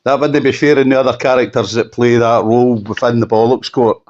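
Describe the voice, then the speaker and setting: A Scottish man giving an unscripted telephone interview, halting and often repeating himself for emphasis. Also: medium pitch, fairly even intonation, a little mellow. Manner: halting, repetitive, emphatic restatements. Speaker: campaigner being interviewed. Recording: dull lo-fi phone call.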